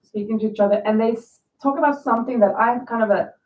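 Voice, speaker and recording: A woman, chatting in a rambling way on a livestream, a little nasal and raspy from a cold. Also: on the high side, quick pace. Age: 50s. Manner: chatty, rambling, reads viewer comments aloud. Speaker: crochet livestream host. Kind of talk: casual livestream chat. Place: home. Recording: distant, echoey, phone-like sound.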